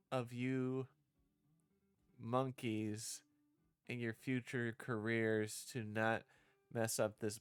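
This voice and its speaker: Male game-dev streamer, unhurried and halting, thinking aloud; dry close recording, soft guitar music underneath. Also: medium pitch, clean tone, no room sound. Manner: halting, thinking out loud, repeating and rewording lines. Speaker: streamer developing own visual novel. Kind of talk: casual livestream, reading and drafting script aloud.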